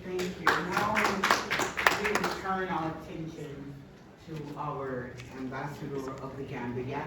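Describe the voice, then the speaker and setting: A woman moderating a panel, briskly calling on people to introduce themselves. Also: low voice, steady tone. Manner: brisk, directive, calling on speakers. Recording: echoey room, distant mic, loud background noise.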